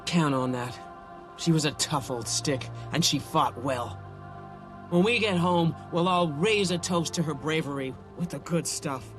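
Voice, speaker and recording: An actor playing a gruff beast-soldier who commands a war column with brisk military orders in a video game. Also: male voice, on the high side, music in the background, close to an everyday voice. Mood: solemn.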